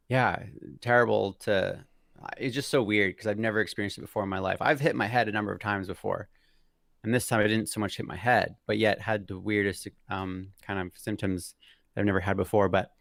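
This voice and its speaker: Low gravelly man in his thirties. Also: fairly bright tone, no echo, clean studio sound. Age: 30s. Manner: hesitant, full of fillers and restarts. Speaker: guest mountain biker. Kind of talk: casual interview chat.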